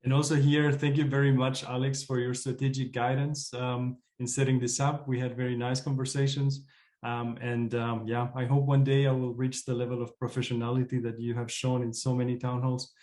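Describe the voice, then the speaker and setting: A man's voice: mid-range voice, flat delivery, fairly quick, a little mellow, reverberant. Informal, appreciative man hosting. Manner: appreciative, informal, chuckling often. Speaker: host of an online community meeting. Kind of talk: casual welcoming moderation of a video call.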